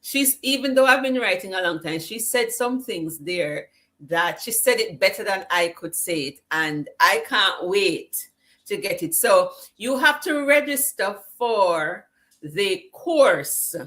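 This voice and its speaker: A woman's voice: mid-range voice, lively intonation, slow, fairly bright tone. A woman hosting a live online summit over a video call, cheering on her viewers and reading their chat comments aloud. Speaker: summit host.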